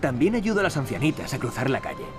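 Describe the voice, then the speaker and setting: Cocky, animated young adventurer. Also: male voice, mid-range voice, fast. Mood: teasing, wry. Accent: Castilian Spanish. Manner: cocky, wisecracking. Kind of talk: video game voice acting. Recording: game music behind the voice. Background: game music.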